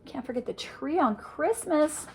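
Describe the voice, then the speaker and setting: An older woman reading a rhyming picture book aloud to children, with a lively, sing-song delivery and chatty asides about the pictures. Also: high voice, measured pace, background noise.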